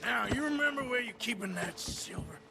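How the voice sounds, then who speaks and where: An actor playing a weary, dry-witted male outlaw who talks laconically and often drops low and quiet. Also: lively intonation, fairly quick, vocal fry, music in the background, full character voice. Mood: menacing. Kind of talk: video game cutscene acting.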